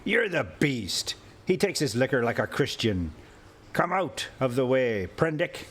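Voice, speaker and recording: A man reading a story aloud, voicing a drunken, reckless, bitter man who taunts and calls out loudly. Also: medium pitch, sing-song, unhurried, husky, heavily acted. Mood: scornful, angry. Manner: loud, taunting exclamations. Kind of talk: storybook character voice in a read-aloud.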